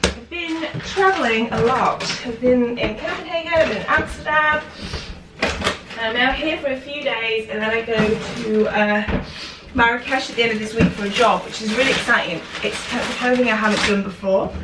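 A woman's voice: on the high side, moderate pace. A British woman in her twenties, bunged up with a cold, chatting casually and upbeat as she unpacks shopping and a parcel at home. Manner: chatty, upbeat, thinking aloud. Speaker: fashion vlogger. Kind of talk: casual vlog chat. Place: home kitchen, indoors. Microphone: camera mic from a distance. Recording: echoey kitchen, far from mic, loud noise.

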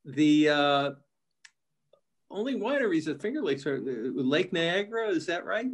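A man's voice: fairly high pitch, animated, normal speed. A man in his seventies, a college alumnus, chatting and laughing often on a laptop video call from his living room. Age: 70s. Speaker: alumnus caller. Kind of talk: casual video-call chat. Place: living room at home, indoors. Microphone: laptop webcam, close.

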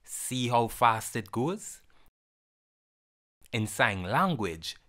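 Very slow, lively-pitched instructional voice. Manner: instructional, simple short phrases. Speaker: presenter teaching sign words. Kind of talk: sign language lesson narration for children.

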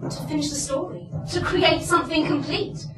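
A woman's voice: high voice, steady tone, normal speed, noisy background, natural voice. A young woman playing an insistent, fatalistic character who explains emphatically in a stage play. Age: young adult. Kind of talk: theatrical stage acting. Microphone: distant camera microphone. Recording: roomy stage, distant mic, background sound.

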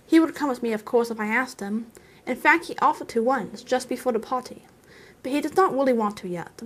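A woman's voice: on the high side, a little fast, slightly rough. Woman voicing a stubborn old hobbit, huskily emphatic. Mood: weary, wistful. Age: older. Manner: emphatic, testy, defensive. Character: elderly male hobbit, stubborn, touchy and possessive.

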